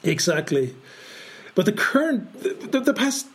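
Halting Danish-born man, podcast co-host. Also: sits high, big pitch swings, a bit bright. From Denmark. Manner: halting, many repeated words and restarts. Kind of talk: casual podcast chat.

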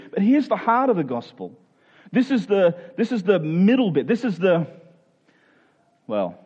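A man's voice: fairly high pitch, a little mellow, lo-fi sound. A man preaching a conversational Bible sermon in an earnest way, posing rhetorical questions and voicing imagined objectors. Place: speaking live to a congregation.

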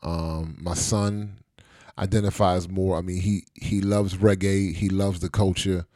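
A man's voice: sits low, level tone, unhurried, dark tone, dry recording, studio quality. A middle-aged man hosting a podcast in casual chat, easygoing and rambling, with many fillers and restarts. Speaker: podcast host.

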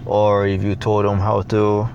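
A young adult man from Jamaica with a Jamaican accent, talking in a reflective, halting way with many fillers as a guest in a casual interview. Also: on the low side, monotone, a little mellow, muffled recording, a little hiss or hum.

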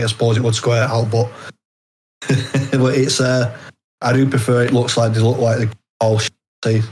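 Male author as podcast guest, mid-pitched, conversational, hesitant and stop-start. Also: a little mellow, slight background noise, poor audio. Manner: halting, hesitant phrasing with repeated false starts. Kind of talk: casual interview chat.

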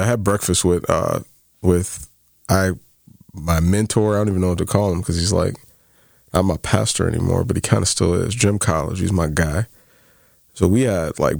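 A man's voice: low voice, gravelly, darkish tone, no echo, studio quality. A man in his thirties co-hosting a faith-based podcast, telling stories in a casual way with many fillers. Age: 30s. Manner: storytelling, quoting others, many fillers like "like". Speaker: podcast co-host.

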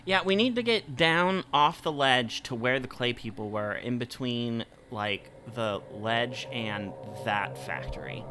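A man's voice: mid-range voice, animated, measured pace, hardly any pauses, pushed and bright, slight background noise. A man playing a tabletop game, talking in casual, joking banter with the other players.

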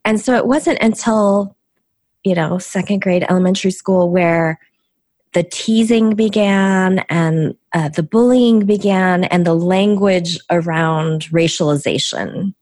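A middle-aged woman, raised in the United States with Korean as her first language, speaks as a podcast guest, telling her story in a thoughtful, halting way with many restarts. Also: lowish voice, a little mellow, no echo, clean studio sound. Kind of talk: reflective storytelling interview.

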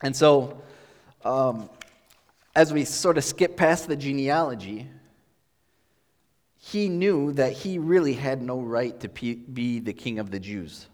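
Man preaching in a reverberant hall. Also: mid-range voice. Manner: relaxed, explanatory, with thinking-aloud pauses. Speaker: pastor. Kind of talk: conversational sermon.

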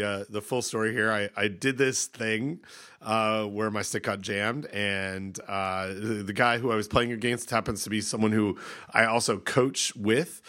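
Man, stuffy nose, hesitant rambling podcast chat, lowish voice. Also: pushed and bright. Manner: rambling, hesitant, many restarts. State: stuffed up from a cold. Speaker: podcast co-host. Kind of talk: casual chat.

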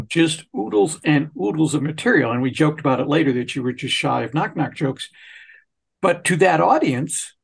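A man's voice: fairly high pitch, creaky, husky. A man hosting a conversational interview. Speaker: podcast host and interviewer.

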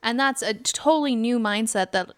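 A woman's voice: a little high, normal speed, fairly bright tone, clean tone. A woman in a casual podcast chat, frank and emphatic, repeating points to drive them home. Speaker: theatre company podcast speaker, stage manager.

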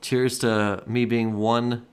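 A man's voice: medium pitch, level tone, slow, clean tone. A man co-hosting a comedy podcast, chatting casually with dry, teasing humour. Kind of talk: casual chat.

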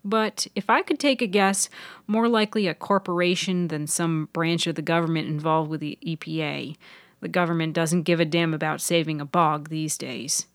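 A woman's voice: low-pitched, steady tone, moderate pace, no echo. A woman voicing a sweet, conspiratorial young woman intern in a fiction podcast. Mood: suspicious. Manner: sweet, hushed, knowing. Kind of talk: audio fiction character dialogue.

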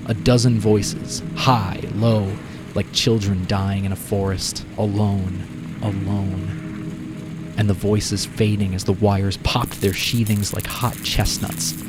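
Slow, low-voiced male story narrator. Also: level tone. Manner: deliberate, dramatic phrasing. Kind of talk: dramatic scripted story narration. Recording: prominent music behind the narration.

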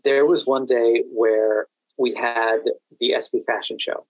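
A man's voice: mid-range voice, fairly even intonation, measured pace, no room sound, rough recording. A man with an American accent talking conversationally as a guest in an interview. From United States. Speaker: podcast guest, actor and event manager.

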